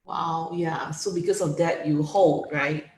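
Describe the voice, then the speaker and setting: A woman's voice: low-pitched, steady tone, measured pace, a little mellow, roomy sound. A woman hosting an interview, asking encouraging, leading questions in a casual manner. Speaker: interview host.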